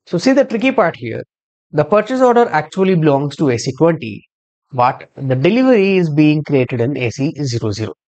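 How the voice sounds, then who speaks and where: A man giving a screen-share software tutorial as a trainer, explaining step by step and spelling out codes. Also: a little high, big pitch swings, a little fast.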